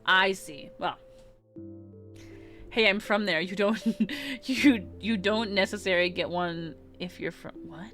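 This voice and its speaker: A woman's voice: medium pitch, unhurried, fairly bright tone, faint music behind. A woman with an American West Coast accent, chatting casually with her viewers on a game stream, giggling and rambling with many restarts. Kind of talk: casual chat reading live chat during a game stream.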